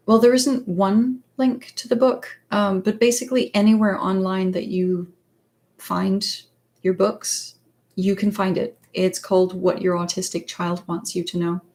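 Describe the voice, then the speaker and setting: A woman whose first language is Danish, chatting casually on a livestream as a psychologist and author. She pauses often and searches for words. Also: mid-range voice, darkish tone. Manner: hesitant, many fillers and restarts, searches for words. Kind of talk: casual livestream chat answering viewer questions.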